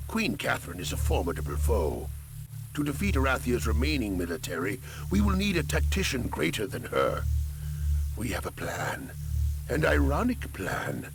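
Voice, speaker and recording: An actor playing a cold, scheming necromancer war commander, narrating a game cutscene in a theatrical, commanding, gravelly voice. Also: male voice, mid-range voice. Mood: sinister relish. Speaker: campaign briefing narrator. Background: music.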